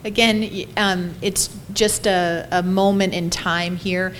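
A woman speaking as a panel presenter, answering audience questions in a halting way with pauses mid-sentence. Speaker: panel presenter, care staff leader. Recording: steady loud background noise, low rumble.

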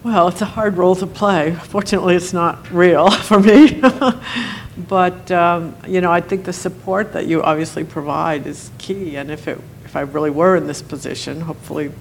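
A woman who acted in a role-play, talking it over in a group discussion with halting speech, fillers and laughs. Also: on the low side, fairly even intonation, vocal fry, slight background noise.

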